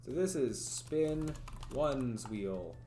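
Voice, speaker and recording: A man streaming live, thinking aloud as he codes and chats with viewers, his voice a little raspy from talking for hours. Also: mid-range voice, expressive, extremely slow, warm tone, a little hiss or hum. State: voice getting raspy from long talking. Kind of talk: casual livestream coding commentary. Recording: roomy echo, faint music.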